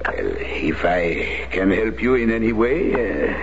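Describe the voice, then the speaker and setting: An actor playing an older priest in a radio drama, speaking in a measured, formal, hesitant way. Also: male voice, lowish voice, animated, vocal fry. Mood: polite, guarded. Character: guarded French parish priest, former Resistance leader. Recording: dull, noisy vintage radio recording.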